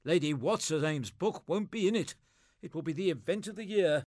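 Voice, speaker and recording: Upper-class English husband, played by a man: matter-of-fact, dryly resigned, lighter pitch, no room sound. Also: expressive, moderate pace, a little mellow, no echo. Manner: dry, understated. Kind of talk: audio play acting.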